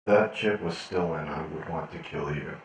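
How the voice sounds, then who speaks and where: A man voicing a weary, menacing captured cyborg monster in a game roleplay, slow and grudging. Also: extremely low pitch. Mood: weary threat. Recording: echoing, distant voice.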